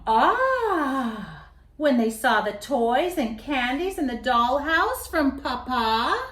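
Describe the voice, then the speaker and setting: High-voiced, expressive woman reading a children's story. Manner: expressive, theatrical, with dramatic pauses. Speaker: picture book reader. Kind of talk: children's story read aloud. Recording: roomy echo.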